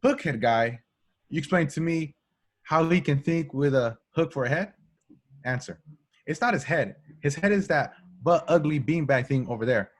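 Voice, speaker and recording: A man's voice: a little high, animated, moderate pace. A man reading the part of a cocky, street-smart young police recruit who talks back, in an amateur script read. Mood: defiant, explaining. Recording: home recording, remote reading.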